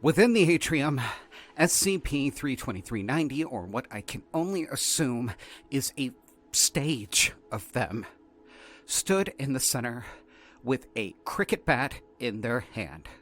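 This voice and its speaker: A man playing a shaken, exasperated researcher, haltingly dictating an incident report in an audio drama. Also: mid-range voice, lively intonation, close to an everyday voice. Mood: grave, agitated. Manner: halting, flustered, repeating words. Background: faint music.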